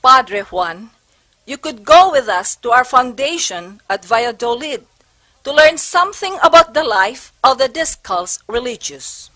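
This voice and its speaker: A composed, devout prioress acted by a woman in a stage drama. She speaks slowly and solemnly in a fairly high voice, courteous but firm. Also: big pitch swings, sharp tone, heavily acted, low-quality recording. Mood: instructing. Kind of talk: amateur historical stage play acting.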